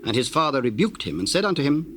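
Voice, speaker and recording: A man gives a formal scripture narration. His mid-pitched voice is solemn and measured. There is faint noise on the recording. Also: big pitch swings.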